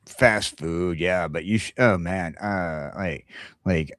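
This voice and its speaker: American guy on a morning podcast, really low voice, dry close sound, rambles and stops and starts with opinions. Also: slow pace, a bit bright, no room sound. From United States. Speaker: talk show co-host. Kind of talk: casual morning podcast chat.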